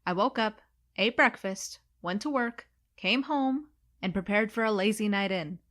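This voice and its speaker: A woman's voice: mid-range voice, measured pace, a bit bright, close to an everyday voice. A woman playing a sarcastic, irreverent young recruit in a sci-fi comedy audio drama, casual and flippant. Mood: wry. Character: snarky, irreverent young woman, reluctant space recruit and narrator. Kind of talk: comedy sci-fi audio drama, first-person narration and dialogue.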